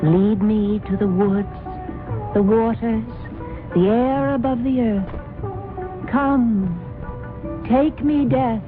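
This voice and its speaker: An actress playing a trusting wealthy wife devoted to spiritual pursuits, gentle, earnest and a little naive, in a radio mystery drama. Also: female voice, on the high side, takes it slowly, pauses often. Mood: solemn. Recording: tinny old radio broadcast with loud music.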